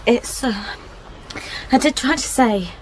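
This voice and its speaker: High-voiced, fragile young widow. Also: female voice, takes it slowly, background noise. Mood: uneasy, withdrawn. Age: young adult. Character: fragile, grieving young widow, guarded and sensitive. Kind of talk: naturalistic radio drama acting.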